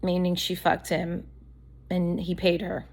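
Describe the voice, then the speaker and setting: A woman's voice: fairly low pitch, completely flat delivery, a little slow, creaky, a little mellow, slightly rough. A woman vlogger talking straight to camera in a candid, blunt, rambling monologue, her voice a little worn from allergies.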